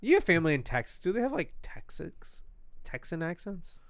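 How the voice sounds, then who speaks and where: A man's voice: on the high side, very animated, fairly quick, dry recording, clean studio sound. A young man from Florida with an American accent, co-hosting a casual live podcast, rambling with lots of fillers and laughs. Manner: rambling, laughs often, many fillers and restarts. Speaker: podcast co-host.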